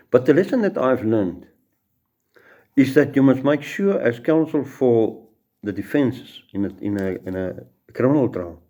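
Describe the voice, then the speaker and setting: A man's voice: mid-range voice, warm tone, highs cut off. A middle-aged man with a South African Afrikaans accent, a judge giving an informal law lecture full of courtroom stories and restarts. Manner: storytelling, repeats words and restarts.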